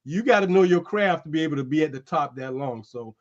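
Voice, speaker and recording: A man hosting a streamed show, chatting loosely and calling people "man" as he pitches merchandise. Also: expressive, quick pace, highs cut off, crowd noise. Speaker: host. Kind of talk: casual chat and merchandise pitch. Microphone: streaming setup.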